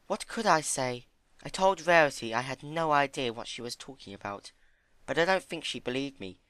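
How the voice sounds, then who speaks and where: A gentle, timid young female pony played by a man reading a story aloud. The voice sits high and light, earnest and a little hesitant, with lively swings in pitch. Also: normal speed, smooth, dry recording, natural voice, low-quality recording. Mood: earnest, puzzled.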